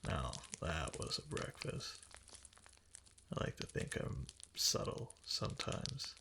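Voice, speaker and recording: A man playing a doting, obsessively devoted fox-spirit husband in an intimate roleplay ASMR, speaking softly and tenderly in breathy, halting endearments. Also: on the low side, background noise, exaggerated voice. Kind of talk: intimate roleplay ASMR, soft and partly whispered.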